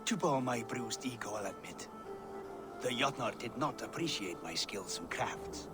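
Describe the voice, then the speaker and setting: Man as courteous, cunning builder, animated pitch. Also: measured pace, over loud music, lightly acted. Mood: humble, guarded. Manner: deferential, eloquent, formal. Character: courteous, cunning, humble-seeming wandering master builder. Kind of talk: video game cutscene acting.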